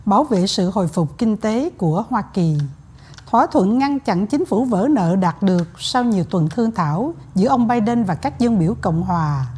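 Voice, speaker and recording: A woman news anchor with a husky, slightly breathy voice reads the bulletin in a steady, formal way. She sits at a studio desk, and the sound is clean and close. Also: medium pitch. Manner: even, formal news delivery.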